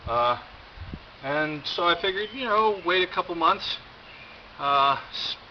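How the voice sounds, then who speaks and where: An American man giving a casual, rambling show-and-tell with wry, deadpan humour and a halting delivery. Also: high voice. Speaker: video host. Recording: dull lo-fi audio, loud background noise.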